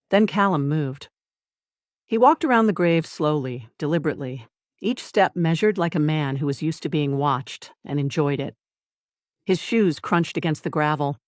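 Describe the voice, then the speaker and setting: A narrator reading a dramatic scripted story in a deliberate way, with pauses and short emphatic phrases. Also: mid-range voice, no echo, clean studio sound.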